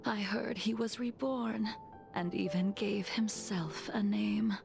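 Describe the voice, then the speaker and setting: An actress playing an aloof female sword master in a game, speaking in a slow, soft, breathy half-whisper. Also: mid-range voice, fairly even intonation. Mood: cold, reflective. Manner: slow, measured, detached delivery. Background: game music.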